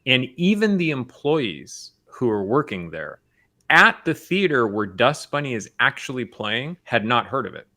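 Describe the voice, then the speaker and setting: A man's voice: medium pitch, sing-song, measured pace, pushed and bright. An American man on a film talk show, reasoning analytically through his points in casual conversation.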